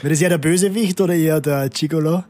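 A man co-hosting a casual interview, asking relaxed, joking questions. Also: fairly high pitch, fast. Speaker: co-host.

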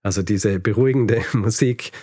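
A man's voice: lowish voice, moderate pace, a little mellow, no room sound. Guy telling history on a podcast, chatty explainer style, bit of dry humor, dry sound. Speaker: podcast host. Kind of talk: conversational history storytelling.

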